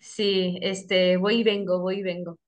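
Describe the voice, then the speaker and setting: A woman's voice: mid-range voice, flat delivery, a little slow. Middle-aged woman in casual chat. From United States, Texas. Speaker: podcast guest, English teacher.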